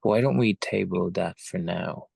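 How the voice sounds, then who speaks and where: Man acting a deep, gravelly station commander. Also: measured pace, darkish tone, full character voice. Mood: measured, dry. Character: laid-back male space station commander. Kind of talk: live screenplay table read acting.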